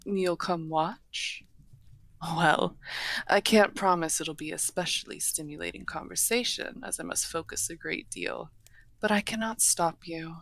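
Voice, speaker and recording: A woman's voice: fairly low pitch, vocal fry, fairly bright tone, heavily acted. A woman playing a courteous, refined half-dragon hostess in a fantasy roleplay, speaking formally with dry teasing. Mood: hospitable, gracious. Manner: genteel, formal, unhurried wording with dry teasing. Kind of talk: fantasy roleplay audio acting, addressing the listener.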